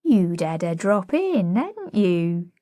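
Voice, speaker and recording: A woman's voice: sits high, big pitch swings, slow, no echo, clean studio sound, pitched above the performer's own voice, full character voice. A woman voicing a proud, indignant young Cockney flower girl, saucy and whining, in a dramatic play reading. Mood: triumphant, confiding.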